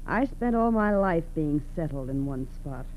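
A woman's voice: deep voice, expressive, a little slow, a little mellow, a little hiss or hum, rough recording. A woman playing a firm, restless crook nagging her lover in 1940s radio style. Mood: firm, insistent. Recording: humming old radio recording.

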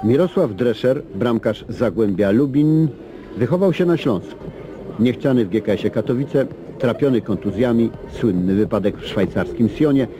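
A man narrating a football report and questioning players in a steady, formal manner. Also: medium pitch, moderate pace, noisy background. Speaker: sports reporter. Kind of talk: scripted report narration and interviewing.

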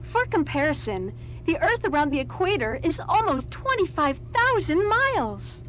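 An actress playing a prim schoolteacher doll in a horror game, lecturing in an over-sweet, recited manner. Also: female voice, very high voice, very animated, moderate pace, a bit bright, slight background noise, heavily acted. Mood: chipper, instructive. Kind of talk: horror video game educational recording.

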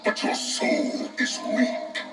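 A man's voice: takes it slowly, natural voice, low-quality recording. A man voicing a menacing, solemn undead being made of many souls, speaking formally for a video game. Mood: solemn menace. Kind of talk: video game fight dialogue. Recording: loud music over game audio.

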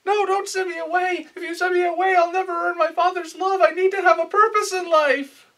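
Man putting on a whiny, high-pitched little boy. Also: fairly even intonation, a little fast, bright, forceful tone, exaggerated voice. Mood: desperate pleading. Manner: whining, pleading, overwrought. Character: whiny, panicking, self-doubting little boy pilot. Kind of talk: anime parody sketch.

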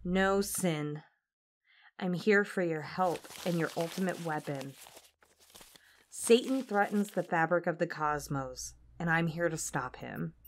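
A woman's voice: lowish voice, unhurried, slight background noise. A woman playing a resolute, regal demon princess in an audio drama, speaking in an earnest, formal way. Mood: urgent. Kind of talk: audio roleplay drama acting.

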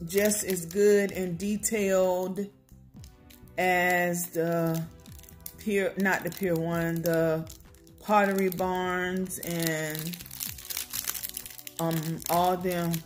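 Home vlogger, American woman, relaxed chatty delivery, slow and low, phone camera. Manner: chatty, unhurried, many ums and self-corrections. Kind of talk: casual product comparison vlog. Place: home table, indoors.

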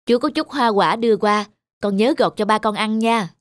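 A woman's voice: medium pitch, moderate pace, no room sound, close to an everyday voice. A woman voicing a kindly, helpful male porter in a cartoon, friendly and plain-spoken. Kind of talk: cartoon dubbing.

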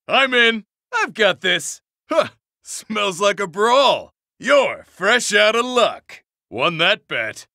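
A rowdy, cocky brawler of a man from a video game. He swaggers through short battle lines in a forceful, animated voice. The recording is clean and dry. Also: high-pitched, vocal fry, no echo, heavily acted. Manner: brash, swaggering.

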